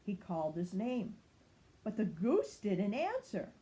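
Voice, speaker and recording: Older woman reading slowly and expressively. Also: mid-range voice, huge pitch swings, mellow. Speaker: grandmother reading a storybook. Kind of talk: children's story read-aloud.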